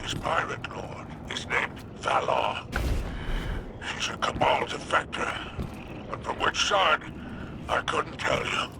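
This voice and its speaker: A man playing a sly, greedy alien crime boss in a video game, with a gravelly voice and a wry, self-important drawl. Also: fairly high pitch, wildly swooping pitch, slow pace, long pauses, very noisy, full character voice. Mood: conspiratorial. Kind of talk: video game character acting.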